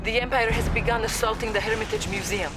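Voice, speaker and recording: An actress playing a poised, dutiful Soviet intelligence officer, giving formal video game briefings. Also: female voice, on the high side, steady tone, fast talker, close to an everyday voice. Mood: urgent. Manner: earnest, precise, formal. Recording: loud game music behind.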